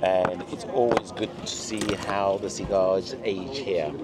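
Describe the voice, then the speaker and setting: A man's voice: low-pitched, fairly even intonation, a little slow, heavy background noise. A middle-aged man hosting a casual, chatty lunch conversation, repeating phrases for emphasis. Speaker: host, cigar retailer. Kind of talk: casual lunch chat on camera. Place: outdoor restaurant table by stone wall. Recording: music behind the talk.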